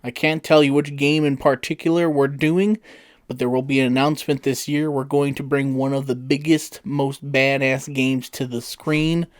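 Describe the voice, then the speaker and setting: A man's voice: mid-range voice, little pitch movement, normal speed, dry recording, clean studio sound. A man hosting a casual group chat among friends, talking in an easygoing, joking way. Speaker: podcast host.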